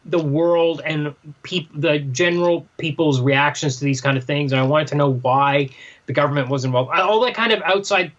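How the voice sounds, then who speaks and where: A man's voice: on the high side, moderate pace, fairly bright tone, highs cut off. A man co-hosting a podcast in casual discussion, giving his review. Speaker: podcast co-host reviewing comics.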